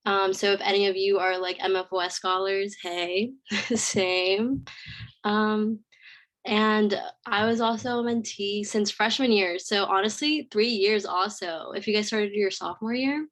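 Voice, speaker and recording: A woman's voice: mid-range voice, fairly even intonation, a little slow, a bit bright. A young woman from Florida, communications manager of a student program, introducing herself in a casual, chatty way. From Tampa, Florida. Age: 20s. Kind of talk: casual presentation to a student group.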